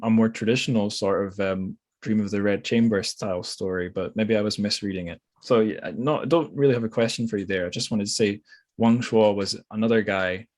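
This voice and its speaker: A man's voice: lowish voice, steady tone, brisk, a little mellow. A man hosting a podcast, talking casually and tentatively about books as he thinks aloud. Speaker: podcast host. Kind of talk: casual book discussion.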